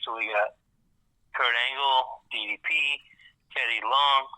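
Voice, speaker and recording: A man's voice: mid-range voice, fairly even intonation, measured pace, bright, forceful tone. A man calling in by phone to co-host a podcast, joking and swearing casually. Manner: joking, profane. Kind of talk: casual chat. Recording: tinny phone line.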